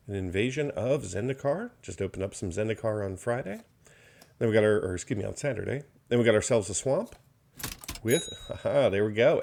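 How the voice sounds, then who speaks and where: Low gravelly man listing cards. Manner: steady card-by-card listing, mild enthusiasm. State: slightly hoarse, recovering from illness. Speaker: card box opener. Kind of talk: unboxing commentary.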